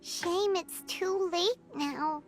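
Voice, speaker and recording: Squeaky, childlike little fairy companion. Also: female voice, drawn-out words, soft background music, heavily acted. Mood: wistful. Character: small childlike fairy companion, cheeky and outspoken. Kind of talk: cartoonish video game dialogue.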